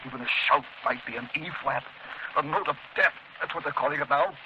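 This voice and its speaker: A man's voice: a little high, steady tone, a little fast, lightly acted. An actor in a 1930s radio melodrama playing a distraught, bitter man cracking under fear. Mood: bitter, despairing. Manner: overwrought, bitter. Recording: muffled 1930s radio recording, faint noise.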